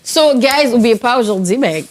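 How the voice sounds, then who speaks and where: A woman's voice: on the high side, expressive, moderate pace. A woman with a Quebec French accent co-hosting a podcast, bubbly and switching into English slang. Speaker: podcast co-host. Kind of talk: casual podcast chat.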